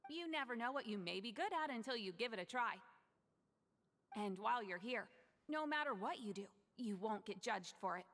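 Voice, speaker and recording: An actress playing a kind, welcoming young woman in a video game, with a gentle, reassuring way of talking. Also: on the high side, expressive, moderate pace, big-room sound. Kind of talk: video game character acting.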